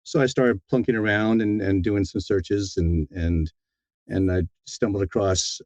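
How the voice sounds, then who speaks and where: A middle-aged man speaking as an interview guest, telling his story in a casual, reflective, somewhat halting way. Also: lowish voice, fairly even intonation, a little mellow, dry recording. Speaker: interview guest, audiobook narrator. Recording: slightly dull, dry audio.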